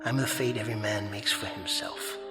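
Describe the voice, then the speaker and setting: An actor playing an eloquent, sardonic spirit of fate in a radio drama, speaking in grandiose, wordy phrases. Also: male voice, fairly low pitch, fairly even intonation, fairly quick, fairly bright tone, lightly acted. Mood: lofty, grave. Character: eloquent, mocking male spirit of fate and conscience. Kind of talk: vintage-style radio drama acting. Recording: reverberant hall-like echo effect, faint music.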